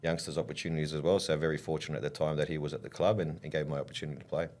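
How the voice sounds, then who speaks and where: A man, middle-aged, telling stories from his playing days in a relaxed interview, with many pauses and restarts. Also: rumbling low. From Australia. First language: English. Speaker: football coach and former player, podcast guest.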